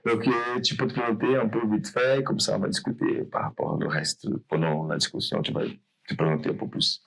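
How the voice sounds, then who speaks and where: A man's voice: lowish voice, expressive, fairly quick, creaky, fairly bright tone, husky, poor audio. A man hosting a podcast interview, talking loosely and rambling, often correcting himself. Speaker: podcast host.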